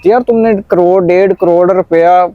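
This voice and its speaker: A man's voice: high-pitched, fairly even intonation, normal speed, dry recording, lo-fi sound. A man with a Pakistani accent talking in a conversational discussion, explaining earnestly and repeating phrases for emphasis.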